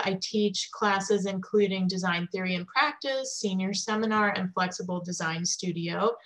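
A young adult woman, a curator and design teacher, introducing herself on a webinar in a clear, composed, prepared way over a video call. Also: medium pitch, fairly bright tone, rough recording. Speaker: assistant curator and design faculty.

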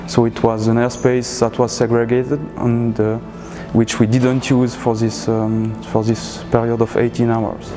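A man's voice: medium pitch, noisy background. A man giving hesitant interview answers into a lapel mic. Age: 30s. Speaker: air traffic control staff interviewee. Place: indoor atrium with plants. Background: background music.

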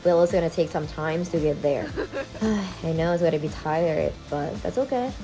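Young thai woman vlogging, easygoing and chatty, thai accent, lowish voice, loud music behind. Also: normal speed, low-quality recording. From Thailand. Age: young adult. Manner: chatty, upbeat, with frequent "alright" and "you know". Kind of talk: casual travel vlog narration. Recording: voice-over with loud background music.

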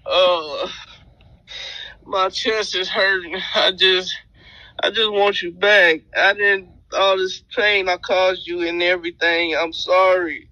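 A man's voice: high-pitched, little pitch movement, slow, sharp tone, no room sound, dull audio. A young American man crying and pleading in a whiny, upset way into a phone held close. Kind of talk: emotional pleading on a phone call.

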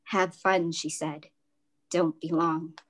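Calm woman author reading at home, slowish and lowish, video call sound. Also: slight background noise. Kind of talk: literary reading and casual discussion. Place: home room with floral wallpaper.

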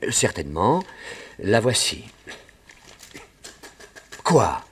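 A man playing a polite, cautious reverend in a French radio play, speaking gently and piously. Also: fairly low pitch, sing-song, very slow, husky, heavily acted. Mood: courteous. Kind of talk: old French radio drama acting.

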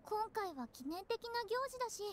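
An earnest, timid teenage nun in an anime game. The voice is extremely high and swings expressively, hesitant and polite. There is clear noise behind it. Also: female voice, big pitch swings, normal speed. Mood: earnest, pleading.

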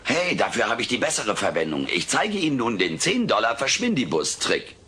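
A man's voice: medium pitch, wildly swooping pitch, a little fast, sharp tone. A man voicing a cheeky furry alien who boasts as an amateur magician in showy, pompous patter, in a comedic radio play. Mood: showy, boastful. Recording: noisy old cassette transfer.

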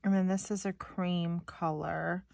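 Chatty low-voiced woman, slightly stuffy. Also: fairly even intonation, measured pace, gravelly, a little mellow. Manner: chatty, informal, thinking aloud. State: slightly stuffy, sniffly nose. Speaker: craft video host. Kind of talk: casual unboxing chat. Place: indoors at a craft table.

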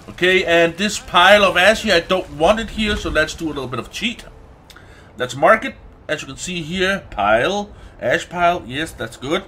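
Non-native english guy doing a casual let's play, bright voice, kinda halting, some noise behind him. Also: fairly high pitch. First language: not English. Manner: halting, searching for words, swearing casually. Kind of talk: casual gameplay commentary.

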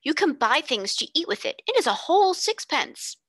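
A woman's voice: sits high, big pitch swings, normal speed, a bit bright, no echo, clean studio sound, pitched above the performer's own voice. A woman voicing an earnest, generous little rich boy who speaks insistently in a storybook reading. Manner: insistent, stout.

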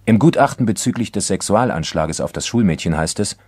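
A man narrating a crime radio play as a sober chronicler, formal and measured. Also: low-pitched, animated, a little mellow, breathy edge, dry recording. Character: sober male narrator of a true-crime case. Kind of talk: scripted documentary crime radio-drama narration.

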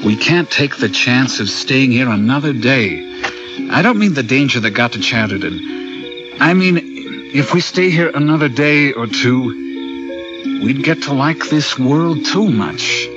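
An actor playing a practical, authoritative spaceship captain in a radio drama. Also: male voice, medium pitch, lively intonation, measured pace, lightly acted. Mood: earnest. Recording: dull radio recording, loud music behind.

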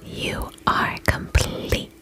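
A woman doing an ASMR spa roleplay as an attendant, speaking in a soft breathy whisper close to the mic, gentle, soothing and affectionate. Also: very slow. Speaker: ASMR performer playing a vampire spa attendant. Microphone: close ASMR microphone.

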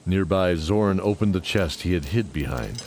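A man's voice: low-pitched, animated, moderate pace, darkish tone. A man narrating a scripted fantasy audio drama. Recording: clear background noise and ambience.

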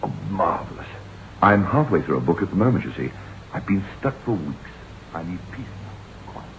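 Very deep-voiced brooding novelist. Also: male voice, big pitch swings. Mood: keen, polite. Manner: measured, reflective storytelling. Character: male novelist narrating a haunted tale, brooding and reflective. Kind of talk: 1960s radio drama narration. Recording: noisy, tinny vintage radio recording.

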